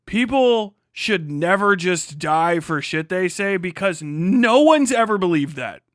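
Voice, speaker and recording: A man with a high, clear voice delivers loose livestream commentary. He talks like a showman one moment and rambles irreverently with plenty of swearing the next. The sound is dry and close, with a crisp, forceful edge. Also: expressive, a little slow, dry recording. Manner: profane, slangy, irreverent. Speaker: live stream host.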